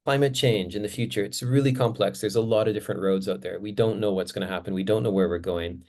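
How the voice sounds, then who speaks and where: A man, co-author of a book, giving casual closing remarks in a relaxed, rambling way with many fillers. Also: fairly low pitch, quick pace.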